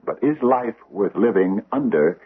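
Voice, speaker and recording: An actor playing an aging, pompous judge with hesitant, formal phrasing in an old-time radio drama. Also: male voice, fairly low pitch, animated, a little slow, breathy edge. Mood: grumbling, dry. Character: aging pompous judge, ponderous, secretive and grumbling. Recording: muffled, band-limited vintage radio recording.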